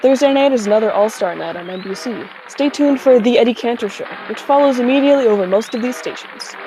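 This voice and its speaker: A woman's voice: a little high, fast talker, noisy background, natural voice. A woman playing a polished, upbeat 1940s radio announcer reading scripted sponsor messages. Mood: polished, promotional.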